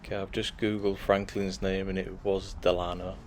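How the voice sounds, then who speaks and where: A man's voice: deep voice, little pitch movement, normal speed, darkish tone, a little hiss or hum. A man walking through an old churchyard, reading gravestones aloud in a casual, musing way while losing his voice. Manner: musing, reads gravestones aloud, talks to himself. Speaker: vlogger touring a graveyard. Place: outdoor churchyard.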